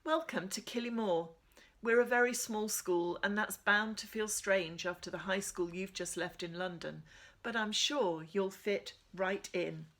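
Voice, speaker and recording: A woman voicing a strict schoolteacher who speaks crisply and formally. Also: medium pitch, measured pace, a bit bright.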